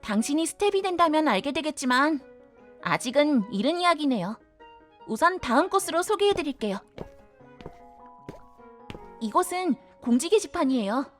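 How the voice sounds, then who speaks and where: A woman plays an excitable, kindly library director in an audio drama. Her voice is very high and swoops animatedly as she politely shows a newcomer around. She gushes over small things, with music playing underneath. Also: moderate pace, pauses often. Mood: bright, welcoming.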